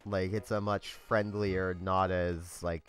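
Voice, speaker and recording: A young man hosts a gameplay video in a flat, monotone voice that sits on the low side and carries a slight scratch. He rambles easily and fills his speech with "like"s. Faint music plays underneath. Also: takes it slowly. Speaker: let's play host. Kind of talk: casual gameplay commentary.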